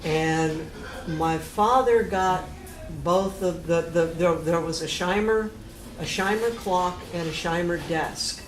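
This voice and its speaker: A mature woman with an American accent, explaining family history casually and in detail, pausing to recollect. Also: lowish voice. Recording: echoey room with clear noise.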